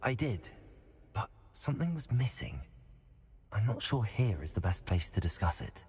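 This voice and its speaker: A man's voice: fairly low pitch, very animated, normal speed. A man acting a polite, curious young student wizard in a video game, with a courteous, earnest manner.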